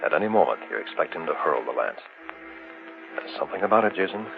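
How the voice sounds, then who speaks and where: An actor playing a wealthy, stubborn art collector in an old radio horror drama, commanding and dramatic. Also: male voice, extremely low pitch, very animated, fast, vocal fry, over music, full character voice. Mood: uneasy awe. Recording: tinny, hissy old radio recording.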